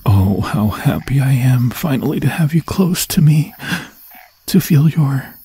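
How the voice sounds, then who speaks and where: A man voicing an obsessive, lovesick forest demon in an ASMR fantasy roleplay, breathy and slipping into whispers, with a theatrical, sweetly taunting manner. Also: mid-range voice, expressive, a little slow, mellow, heavily acted. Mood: adoring, possessive.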